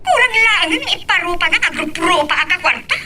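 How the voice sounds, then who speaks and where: A woman's voice: very high voice, expressive, brisk, pushed and bright, heavily acted. A woman playing an irate, bitter, money-grasping woman in an audio drama, with an Ilocano accent. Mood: angry. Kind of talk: amateur audio drama acting.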